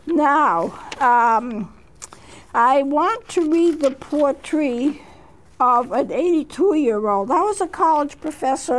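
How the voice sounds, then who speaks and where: High-voiced woman in her eighties. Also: rough recording. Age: 80s. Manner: unhurried, with frequent fillers and restarts. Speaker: counselor and show host. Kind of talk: reflective talk with read-aloud poetry.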